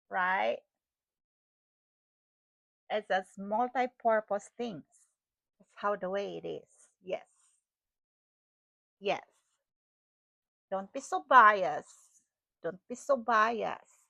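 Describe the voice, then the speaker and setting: A woman's voice: medium pitch, creaky, pushed and bright, no room sound, dull audio. A middle-aged Filipina woman with a Cebuano accent, a wellness vlogger rambling casually to camera, repeating her phrases and laughing often. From Philippines.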